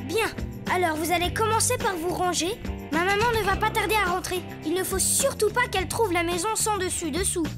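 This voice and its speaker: A woman's voice: very high-pitched, fairly quick. A woman voicing a bossy but good-hearted little boy superhero in a children's cartoon, childlike and exclamatory. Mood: firm. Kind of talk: French children's cartoon voice acting. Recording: loud music behind the voice. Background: loud cartoon music.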